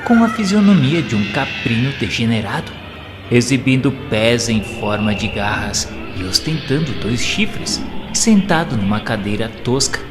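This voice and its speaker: A man's voice: medium pitch, big pitch swings, measured pace. A man reading scripted dramatic narration in a solemn, formal, literary manner. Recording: long hall reverb, faint music. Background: faint music.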